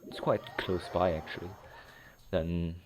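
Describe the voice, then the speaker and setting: A man's voice: low voice, level tone, measured pace, background noise. A man casually commentating as he plays a video game, rambling and thinking aloud. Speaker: gameplay commentator. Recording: game music loud behind. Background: game music.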